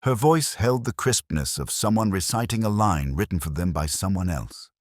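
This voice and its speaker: Guy playing a brooding english detective telling his case, super deep voice, solemn n measured, dry studio sound. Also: lively intonation, no echo. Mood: pensive, wry. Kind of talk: scripted first-person mystery narration, literary.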